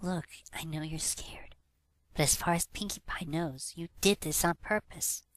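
A man voicing a supportive young female pony, gentle but firm, in a story read-aloud. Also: fairly high pitch, a little slow, no room sound, whispered, voice pushed up. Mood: coaxing, firm. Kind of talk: fan fiction read-aloud.

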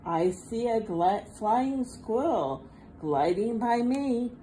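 A woman reads a picture book aloud for little children in a sing-song, repetitive rhythm. Her voice is soft-edged and mellow, with very lively swings of pitch. It is recorded indoors with faint room noise behind her. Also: takes it slowly, poor audio. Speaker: children's storybook reader. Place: indoors at a table.

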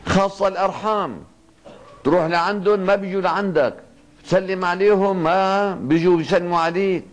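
An older man with a Levantine Arabic accent giving a Ramadan evening sermon in a mosque, speaking in a fatherly way with slow, emphatic repetition. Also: high-pitched, fairly even intonation. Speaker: sheikh. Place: mosque, seated at a pulpit desk. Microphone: two stand microphones on the desk.

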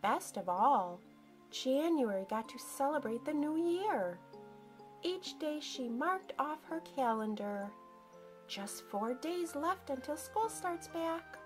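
A woman with a fairly high, very lively voice reads a picture book to children. She takes her time, stressing words dramatically, over a music bed. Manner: deliberate, dramatic emphasis for young listeners. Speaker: storyteller for children. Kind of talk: expressive children's picture book read-aloud.

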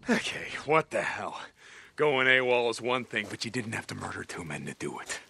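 An actor playing a gruff, sardonic male drifter in a video game, terse and muttering, with a gravelly voice. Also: fairly low pitch, big pitch swings, moderate pace, sharp tone, slight background noise, heavily acted. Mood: wry, stern. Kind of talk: video game cutscene acting.

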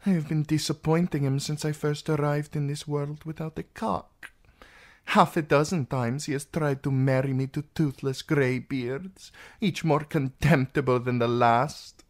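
A narrator voicing a husky, high-pitched young princess. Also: steady tone, a little slow, close to an everyday voice. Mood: bitter. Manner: formal, archaic courtly phrasing.

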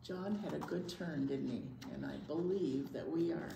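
An older woman reads a picture book to children with asides, expressive and often dropping to a hushed near-whisper. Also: low voice, normal speed, vocal fry. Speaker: children's library storytime reader. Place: indoor children's library storytime corner. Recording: roomy echo, faint background noise.

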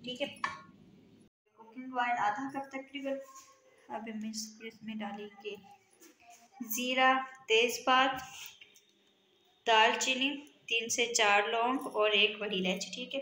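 A woman hosting a home cooking channel, calmly walking through each step of a recipe and often pausing to check in with viewers. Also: a little high. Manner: calm, instructive, often ends steps with a check-in. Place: home kitchen, indoors. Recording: echoey room with sizzling noise. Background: frying and sizzling from the pan.

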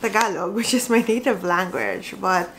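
A young Filipino woman chats to camera about her reading plans, her accent light and her tone giggly and animated. She sits at desk distance in a small, cozy room. A faint background hiss sits under the voice. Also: mid-range voice, normal speed. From Philippines. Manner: chatty and giggly, gestures a lot. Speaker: book vlogger. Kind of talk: casual to-camera book chat.

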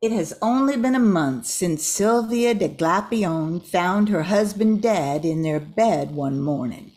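Slow, low-voiced older woman narrator. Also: darkish tone. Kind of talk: scripted radio drama narration.

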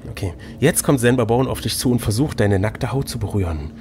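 A man's voice: medium pitch, lively intonation, fairly quick. A man acting as game master, reading a fantasy gamebook aloud and bantering casually. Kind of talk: storybook narration mixed with casual banter. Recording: noticeable background noise.

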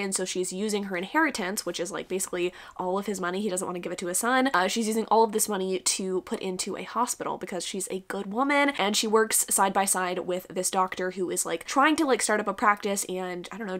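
A young woman in her twenties giving a casual, candid book review to camera at home, chatty with lots of fillers, her voice congested. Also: mid-range voice. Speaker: book vlogger. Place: home room with bookshelves, then bedroom.

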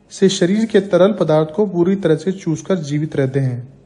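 Slow, mellow man reading instructions. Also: on the high side. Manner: slow, even reading with formal Hindi wording. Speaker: narrator of an agricultural training film. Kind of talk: scripted instructional voice-over.

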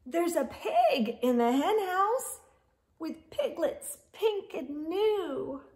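A middle-aged woman hosts a children's storytime. Her voice is high and swings widely in pitch, with an expressive, sing-song delivery. She takes the words slowly, as if for very young listeners. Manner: expressive, sing-song delivery with animal sounds and hushed asides. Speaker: children's storytime host reading aloud. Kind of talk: picture-book read-aloud for young children. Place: living room or home library with bookshelves.

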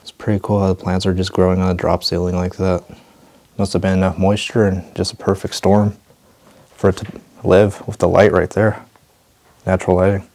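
A man narrating a walk through an abandoned building, calmly pointing things out as he goes. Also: normal speed, no room sound. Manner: low-key, observational, thinking aloud. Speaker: urban explorer host. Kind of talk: walking vlog narration.

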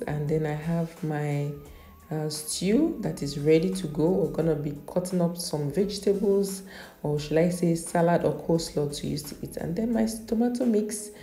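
A woman's voice: sits low, warm tone, roomy sound. A woman, a mother, chatting casually about her meal prep at home in her kitchen, with many fillers and restarts. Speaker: cooking vlogger.

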